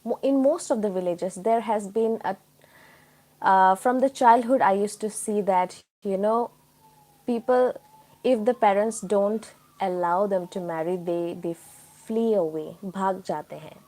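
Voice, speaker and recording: A woman's voice: mid-range voice, moderate pace, dark tone, low-quality recording. A woman from Tripura with an Indian accent, hosting a casual live chat and explaining things informally to her viewers. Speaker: live stream host.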